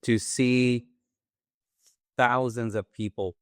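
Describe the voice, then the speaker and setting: A man's voice: mid-range voice, fairly even intonation, takes it slowly, clean tone, dry recording, clean studio sound. A man with a Puerto Rican accent co-hosting a conversation about mental health, earnest and reflective as he thinks aloud. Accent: Puerto Rican Spanish. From Puerto Rico. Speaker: podcast co-host. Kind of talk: therapy-informed conversation.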